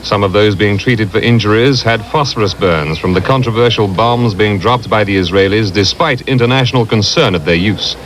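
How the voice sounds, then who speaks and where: Low-voiced male war correspondent. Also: moderate pace, few pauses, a little hiss or hum. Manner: grave, steady reporting. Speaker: foreign correspondent. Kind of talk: scripted news report.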